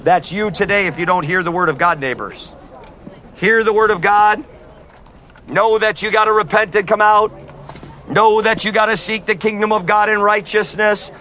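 Street preacher, male, high and forceful, loud, open-air with light traffic hiss. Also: moderate pace. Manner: forceful, repeatedly addressing "neighbors". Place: outdoors on a street outside a concert. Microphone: no amplifier.

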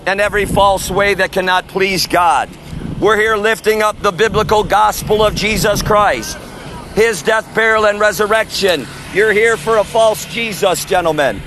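Loud high-pitched male street preacher. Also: slight background noise. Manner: loud, forceful proclaiming. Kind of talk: open-air street preaching. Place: outdoor sidewalk by a street.

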